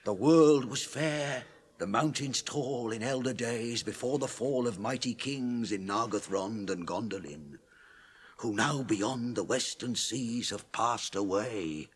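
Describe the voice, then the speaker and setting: An actor playing a proud, solemn dwarf in a radio drama, grave and declamatory, with a gravelly, husky voice. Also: male voice, medium pitch, slow, slight background noise, close to an everyday voice. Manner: grave, declamatory, chanting old verse. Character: proud, solemn dwarf mourning his people's lost kingdom. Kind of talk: 1980s radio drama acting.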